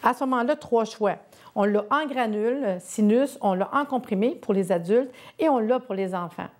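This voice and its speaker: A woman's voice: fairly high pitch, moderate pace, a little mellow. A woman with a Québécois accent presenting a sponsored health segment, chatty and persuasive. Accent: Québécois French.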